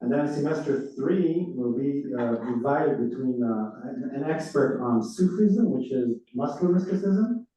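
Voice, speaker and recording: Rabbi speaking from far off in an echoing hall. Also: male voice, medium pitch, lively intonation, unhurried, hardly any pauses, mellow. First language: Hebrew. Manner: chatty, informal lecturing. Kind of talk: informal announcements to a class. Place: large synagogue room. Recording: echoey hall, far off, dull.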